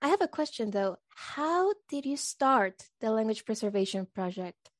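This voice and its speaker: A woman hosting a community radio interview, friendly and a little nervous and excited. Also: on the high side, normal speed, no room sound, studio quality. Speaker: podcast host.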